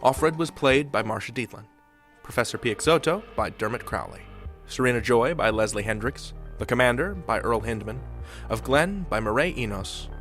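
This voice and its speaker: A man's voice: medium pitch, lively intonation, moderate pace, a bit bright, soft background music. A man hosting a podcast, reading scripted announcements and credits. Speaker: podcast host.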